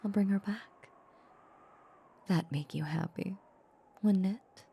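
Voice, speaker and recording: A woman playing a tender, possessive female vampire in an intimate ASMR roleplay, soothing and hushed, often slipping into whispers. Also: mid-range voice, slow, creaky, slightly rough, full character voice. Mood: doting, wistful.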